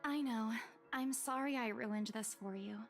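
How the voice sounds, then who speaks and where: A woman's voice: fairly high pitch, faint music behind. Anxious young saleswoman. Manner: hesitant, halting. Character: anxious young female saleswoman, shaken and apologetic. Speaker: visual novel character.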